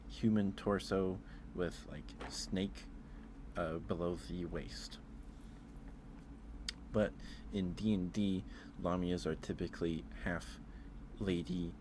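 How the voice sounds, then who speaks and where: A man streaming casual ASMR chat, talking haltingly, often repeating words and trailing off. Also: deep voice, noisy background. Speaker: streamer hosting a ranking.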